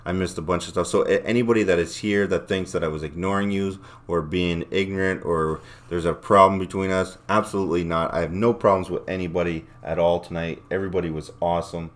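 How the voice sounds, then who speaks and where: Deep-voiced man rambling on a livestream. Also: steady tone, fast talker, few pauses. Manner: rambling, blunt and profane. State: voice a little worn, losing it. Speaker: livestream host. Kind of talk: casual livestream sign-off chat, reading chat comments aloud.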